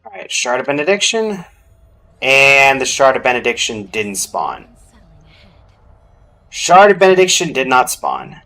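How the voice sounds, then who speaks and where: A man's voice: mid-range voice, very animated, a little slow, long pauses, bright, forceful tone, soft background music. A man giving casual gameplay commentary as a streamer, thinking aloud as he goes. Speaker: game streamer.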